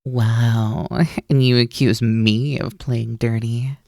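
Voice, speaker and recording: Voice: medium pitch, little pitch movement, slow pace, vocal fry, dark tone, dry recording, clean studio sound. A performer in an ASMR roleplay voicing a mischievous, teasing naga snake-demon roommate who coaxes playfully and likes to be in charge. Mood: mock offended.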